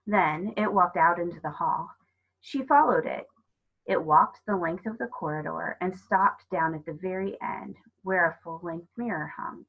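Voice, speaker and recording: Female storybook narrator, lowish husky voice, expressive read-aloud delivery, slightly dull lo-fi recording. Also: unhurried. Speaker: storybook reader. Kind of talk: scripted narration of a children's novel.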